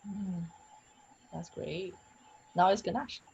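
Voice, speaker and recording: A woman in her thirties moderates a webinar panel, her voice husky and a little low. She keeps things friendly and brisk, introducing each speaker in simple words. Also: steady tone, darkish tone, highs cut off. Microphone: video call.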